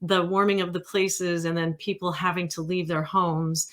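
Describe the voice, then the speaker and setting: A woman's voice: lowish voice, flat delivery, moderate pace, fairly bright tone. A middle-aged or older woman from California with an American accent, hosting an interview in a casual, hesitant, rambling way. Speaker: interview host.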